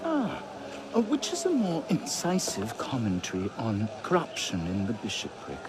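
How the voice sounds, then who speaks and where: A sly, decadent aristocrat. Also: male voice, medium pitch, wildly swooping pitch, normal speed, noisy background. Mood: amused. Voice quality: arch, theatrical, mocking drawl. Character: witty decadent aristocratic nobleman, middle-aged.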